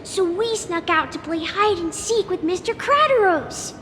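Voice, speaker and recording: A woman's voice: very high-pitched, lively intonation, unhurried, a little hiss or hum, exaggerated voice. A woman voicing an eager, proud young child in a video game, earnest, chatty and childlike. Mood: sheepish, curious. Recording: reverberant hall, loud music behind.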